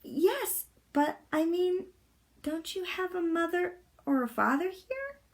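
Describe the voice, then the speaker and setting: A woman voicing an anxious, curious little girl in a storybook, with a hesitant, breathy, questioning manner. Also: extremely high pitch, very animated, slow pace, pitched above the performer's own voice, full character voice.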